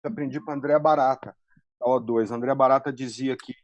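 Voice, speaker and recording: A man's voice: medium pitch, fairly even intonation, fast talker, dry recording. A man with a Brazilian accent talking colloquially as a screenwriter on a panel. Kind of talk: casual, colloquial panel talk.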